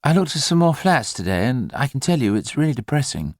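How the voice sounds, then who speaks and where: An anxious, practical new father in an audiobook, acted by a male narrator. He is brisk and dryly ironic, with very lively intonation. The sound is close and dry. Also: medium pitch, dry recording, voice pushed up, close to an everyday voice. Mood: fretful, brisk. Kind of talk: audiobook character dialogue.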